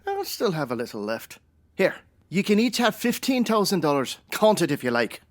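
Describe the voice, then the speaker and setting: A man's voice: sits high, big pitch swings, normal speed. An actor playing a shady, evasive, grudging male swindler in a radio drama.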